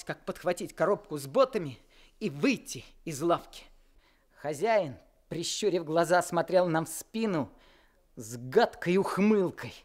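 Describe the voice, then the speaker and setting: A young man narrating a radio play as the older brother, earnest and reflective. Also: high voice.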